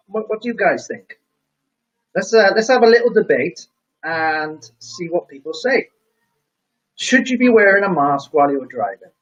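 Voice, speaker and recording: Chatty man with faint Welsh lilt. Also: fairly high pitch. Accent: faint Welsh mixed with English. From Wales. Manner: chatty and jokey, quizzing viewers. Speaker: live stream host. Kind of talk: casual live-stream chat reading out viewer comments. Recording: dull lo-fi stream audio.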